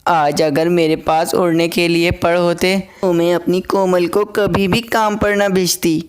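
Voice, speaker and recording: A gentle mother sparrow from a cartoon fable, acted by a woman, speaks with a clear, smooth voice. She is protective and anxious, explaining things with care. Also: lowish voice, level tone, measured pace, natural voice. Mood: worried, pleading.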